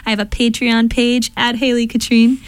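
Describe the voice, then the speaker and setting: High-voiced quick-talking female singer. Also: little pitch movement, clear, resonant tone, no room sound. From Upper Midwest of the US. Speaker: singer-songwriter and voice teacher. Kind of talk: casual interview chat.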